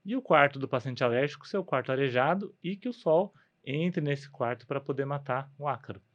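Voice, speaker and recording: Brazilian guy doctor chatting on a podcast, mid pitch, explains stuff w lots of tag questions, bit muffled audio. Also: fairly quick. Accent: Brazilian Portuguese. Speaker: guest doctor, ear, nose and throat specialist. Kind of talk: conversational health podcast, explaining advice.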